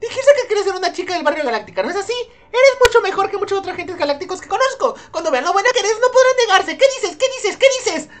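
A man's voice: extremely high pitch, expressive, quick pace, few pauses, voice pushed up. A man voicing a loyal, eager young space agent, earnest and persuasive, in an amateur cartoon dub.

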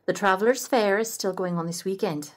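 A man's voice: sits high, a little fast, natural voice. A man voicing a kindly, shrewd older café owner with a British accent who calls everyone love and dear. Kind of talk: audio roleplay acting.

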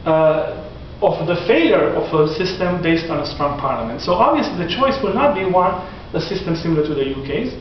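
Forties male lecturer, animated and restarting often, recorded far off with a dull sound. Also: a little high, brisk, few pauses, slight background noise. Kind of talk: classroom lecture. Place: classroom in front of whiteboard. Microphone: far camera. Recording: echoey classroom from far off, dull lo-fi.

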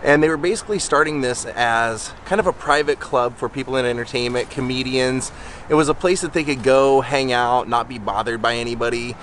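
A man's voice: medium pitch, a little fast, a bit bright. A man in his thirties, a travel vlogger talking casually to a handheld camera outdoors, telling a story while his voice starts to go. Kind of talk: casual vlog narration. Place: outdoors on a sunny street. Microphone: handheld camera at arm's length. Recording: outdoor traffic noise behind.

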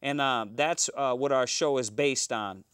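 A man's voice: mid-range voice, a little slow, fairly bright tone, clear, resonant tone, no echo. A man in his thirties hosting a live talk show from a small studio, rambling with fillers and restarts. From Houston, Texas. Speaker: host.

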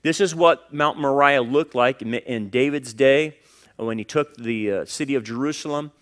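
A middle-aged American man, a pastor, delivering an expository sermon with an emphatic manner that moves between forceful and hushed. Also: medium pitch, normal speed, clean tone. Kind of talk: expository sermon with scripture reading. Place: church stage before a congregation, indoors.

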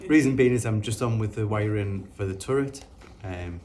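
A man's voice: fairly low pitch, normal speed, darkish tone. A man, chatting casually in a large indoor room at a short distance from the camera. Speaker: family renovation vlogger. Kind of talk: casual family chat.

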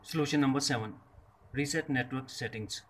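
A man's voice: mid-range voice, fairly quick. A man reading a scripted step-by-step tech tutorial in short, separated instructional phrases. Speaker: tutorial presenter.

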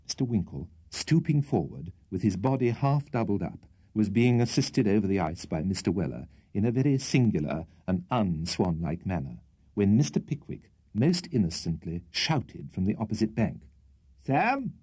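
A man's voice: fairly low pitch, sing-song, normal speed, dark tone, husky. A British man reading a literary audiobook as storyteller, in a precise, theatrical manner. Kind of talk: scripted literary audiobook narration.

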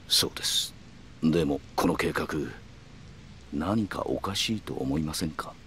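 An actor playing a calm, calculating middle-aged yakuza man who speaks in polite, measured, formal phrases in a video game. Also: very deep voice, slight background noise, exaggerated voice. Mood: measured, insinuating. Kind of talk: Japanese video game cutscene acting.

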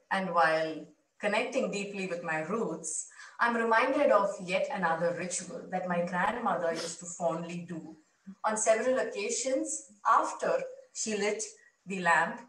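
A woman tells a personal story in slow, deliberate phrases. The delivery is reflective and measured, picked up by a distant camera microphone in a clearly echoing space. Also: medium pitch, fairly bright tone. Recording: roomy, distant microphone via video playback.

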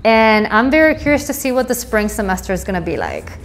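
A woman's voice: medium pitch, wildly swooping pitch, fairly quick. A woman, a university administrator, speaking candidly and reflectively in a sit-down interview, recorded on a lapel mic in an office. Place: indoor office.

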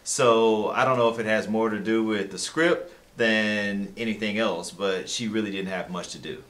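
A man's voice: lowish voice, fairly even intonation, moderate pace, fairly bright tone. An American-accented man in his thirties, co-hosting a conversational film review in a small room.

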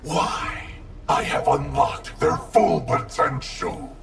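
A man voicing a cold, arrogant wraith ninja clan leader in a video game, gravelly, haughty and threatening. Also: mid-range voice, huge pitch swings, slow, raised voice, full character voice. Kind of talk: video game character dialogue. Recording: music behind the voice.

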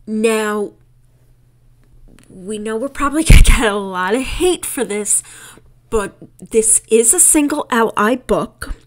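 A young woman with a New York accent talks through a story game at an unhurried, easy pace. She laughs often between lines and reads the on-screen text aloud in a casual, chatty way. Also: a little high, animated. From New York City. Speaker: game creator playing through a demo. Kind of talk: casual game walkthrough commentary.

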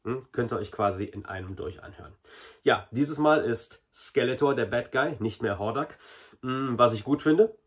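A man's voice: mid-range voice, normal speed, breathy edge. A man in his forties giving a casual, chatty review to camera in a small room. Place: small indoor hobby room.

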